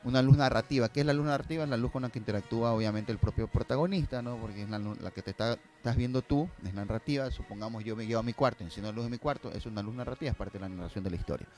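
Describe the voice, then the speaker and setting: A man with a mid-range voice talks quickly as a guest on a casual talk show. He explains and tells stories conversationally, repeating phrases for emphasis, with music playing behind him. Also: medium pitch, a little mellow. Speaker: guest filmmaker.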